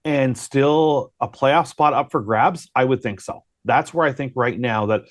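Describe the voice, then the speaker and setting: A man's voice: mid-range voice, measured pace, no echo, studio quality. A man giving conversational hockey analysis as a guest broadcaster, often posing questions to himself. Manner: thinks aloud with rhetorical questions.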